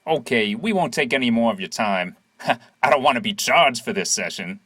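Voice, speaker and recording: An actor playing a brisk police sergeant with an insistent, official manner in an audio drama. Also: male voice, medium pitch, lively intonation, fairly bright tone, slightly rough, dry recording, clean studio sound. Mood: placating. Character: brisk male police sergeant questioning witnesses.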